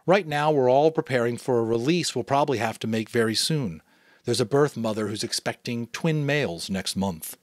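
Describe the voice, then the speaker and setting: A man voicing a calm father who speaks in a matter-of-fact way. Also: medium pitch, normal speed, no echo, clean studio sound, natural voice.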